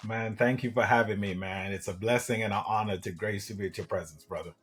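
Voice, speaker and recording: A middle-aged man from Trinidad and Tobago, a guest telling his life story in a friendly, animated way and often saying "man". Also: fairly low pitch, a little fast. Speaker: guest, government contracting coach. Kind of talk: casual interview storytelling.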